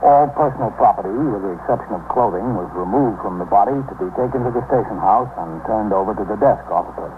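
A formal police captain played by an actor narrates in a low, measured, procedural voice, in 1950s radio drama style. The sound is thin and hissy, like an old broadcast. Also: male voice, phone-quality sound, natural voice. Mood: matter-of-fact. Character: matter-of-fact police captain narrating an official case report.

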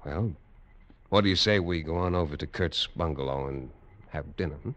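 A deep-voiced hard-boiled detective. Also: male voice, normal speed. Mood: threatening. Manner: clipped, hard-boiled narration. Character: tough American detective narrator. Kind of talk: 1950s radio drama acting. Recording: dull old radio recording.

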